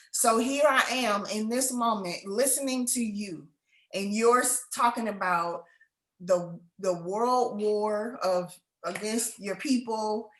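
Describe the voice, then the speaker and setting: A woman hosting a conversation, a writing coach, speaking in a heartfelt, halting way. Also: mid-range voice, fairly bright tone. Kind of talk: casual conversation. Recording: echoey room.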